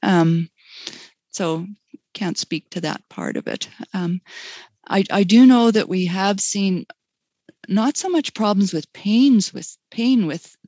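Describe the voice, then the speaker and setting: A woman talking as a guest in a webinar conversation, relaxed and chatty, laughing often. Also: medium pitch, slow, dry recording. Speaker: guest speaker.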